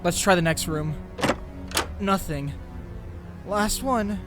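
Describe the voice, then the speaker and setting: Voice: mid-range voice, slow pace, loud music behind the voice, natural voice. An actor playing an anxious, driven teenage boy who narrates his own story intensely in a dramatic comic dub. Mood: tense.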